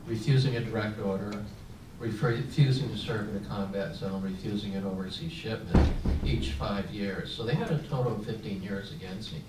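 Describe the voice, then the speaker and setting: An older man shares a personal story as a veteran on a panel, pausing often with fillers and restarting his sentences. His voice sits fairly low, and the mic catches him from some distance. The room is echoey, and a clear layer of background noise runs under his speech. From San Francisco, United States. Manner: reflective, with frequent fillers and restarts.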